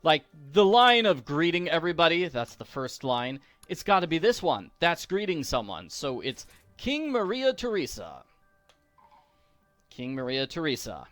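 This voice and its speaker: Animated man, unhurried game walkthrough. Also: a little high, no room sound. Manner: playful, jokey. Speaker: video game walkthrough host. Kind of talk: casual game commentary. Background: faint game music.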